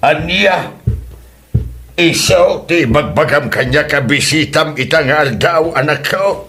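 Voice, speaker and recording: Man acting a sharp, scolding Ilocano father. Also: a little high, slow. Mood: stern, suspicious. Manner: scolding, interrogating, confrontational. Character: angry, suspicious father confronting his daughter's suitor. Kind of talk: comedy audio drama acting.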